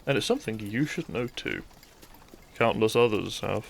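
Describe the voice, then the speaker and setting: A man playing a bitter, brooding thief in a scripted audio roleplay, speaking straight to the listener with mocking politeness and dramatic pauses. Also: on the low side, lively intonation, vocal fry, slight background noise. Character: bitter young male thief, kidnapper, once a noble's childhood friend.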